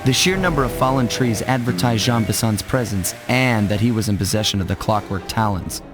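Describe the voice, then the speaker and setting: A man's voice: on the low side, fairly quick, close to an everyday voice. A man voicing a suave, confident young raccoon master thief in a cartoon video game. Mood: cool, wry. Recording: music loud behind the voice. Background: loud game music.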